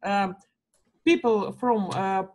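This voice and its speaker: A Russian woman with a Russian accent, a primary school teacher, speaking halting English as a student with many pauses and fillers. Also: mid-range voice, darkish tone, slight background noise. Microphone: video call.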